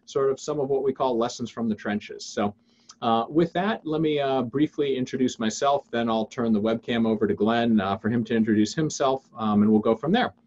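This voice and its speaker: An American man in his fifties presenting a webinar informally, with halting delivery and frequent ums. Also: mid-range voice, darkish tone, highs cut off. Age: 50s. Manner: halting, frequent filled pauses and restarts. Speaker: webinar host and investing teacher. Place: indoors on a video call.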